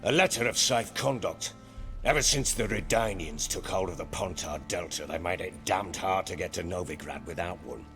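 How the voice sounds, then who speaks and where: An actor playing a gruff, grieving, volatile middle-aged baron in a dramatic video game, brooding and blunt. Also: male voice, deep voice, lively intonation, normal speed, fairly bright tone, faint music behind. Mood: gruff, weary. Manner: brooding, blunt, agitated when pressed.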